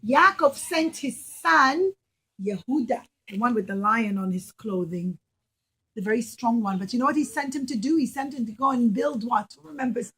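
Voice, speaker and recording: A woman's voice: on the high side, a little fast, breathy edge, low-quality recording. A woman preschool teacher telling a story to young children in simple, emphatic phrases, often putting questions to them. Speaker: preschool teacher giving a puppet show.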